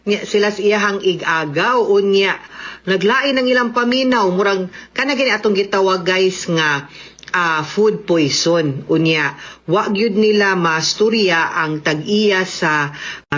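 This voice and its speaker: A woman's voice: mid-range voice, measured pace, fairly bright tone, lo-fi sound. A woman with a Cebuano accent giving chatty, explanatory advice as a radio host and lawyer. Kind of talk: conversational radio advice talk.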